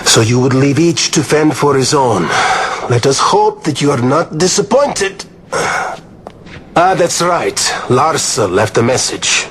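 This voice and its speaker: Grand flowery nobleman, slow and theatrical. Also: fairly high pitch, big pitch swings, fairly bright tone, a little hiss or hum, full character voice. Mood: wry. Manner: slow and deliberate. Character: flowery, gallant foreign nobleman. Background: orchestral game score.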